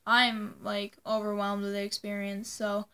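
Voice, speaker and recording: A woman's voice: mid-range voice, flat delivery, a little slow, pushed and bright, clear, resonant tone. A young woman telling a personal story to viewers in a casual, informal way, often dropping "like" into her sentences. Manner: informal, peppers speech with "like". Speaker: vlogger.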